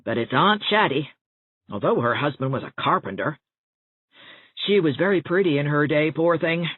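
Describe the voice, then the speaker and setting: Woman voicing a husky, gossipy older village woman. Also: low voice, animated, moderate pace, narrow, tinny audio. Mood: confiding, fussy. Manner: folksy, rambling, confiding. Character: chatty, gossipy older small-town woman, well-meaning busybody. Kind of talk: audiobook character dialogue. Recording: thin band-limited audio, roomy echo.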